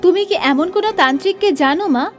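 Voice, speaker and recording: A woman's voice: very high-pitched, a little fast, faint music behind. A woman playing an anxious, soothing young mother of a little boy in a horror cartoon story. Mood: caring, anxious.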